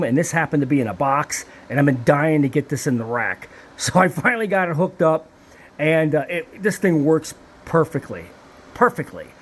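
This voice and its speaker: A man giving a casual, rambling and enthusiastic walkthrough of equipment, like a technician showing his setup. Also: a little high. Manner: rambling, enthusiastic hands-on explaining.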